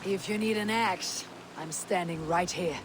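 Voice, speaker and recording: A woman playing a stern, resolute Viking raider leader in a game, speaking in a blunt, measured, commanding way. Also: lowish voice, background noise, close to an everyday voice. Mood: persuasive, firm.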